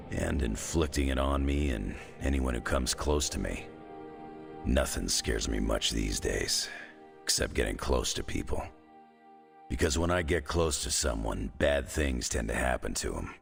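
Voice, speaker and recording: An actor playing a gruff, brooding, haunted loner hero in a video game cutscene, confiding in a wry, laconic way. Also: male voice, exaggerated voice. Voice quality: deep gravelly voice. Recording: music behind the voice.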